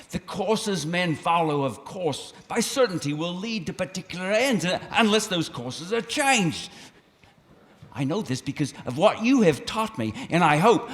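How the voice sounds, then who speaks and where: An actor playing an older repentant miser who pleads breathlessly and breaks into laughter. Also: male voice, high voice, a bit bright, big-room sound, full character voice. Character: repentant old miser, frantic then giddy with joy.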